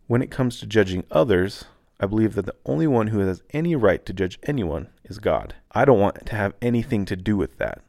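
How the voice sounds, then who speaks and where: A man who grew up in Taiwan speaks in a low, unhurried register, sharing a personal reflection on his podcast. His tone is calm and earnest, like a laid-back guy talking plainly. The recording is dry and close, with no room sound at all. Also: vocal fry, dry recording. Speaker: podcast host.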